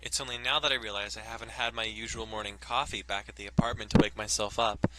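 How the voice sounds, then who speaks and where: A man's voice: on the low side, steady tone, fast talker, very noisy. A man reading a visual novel aloud and cracking sarcastic jokes about the writing, with game music behind. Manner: sarcastic, playful commentary. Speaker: let's play host.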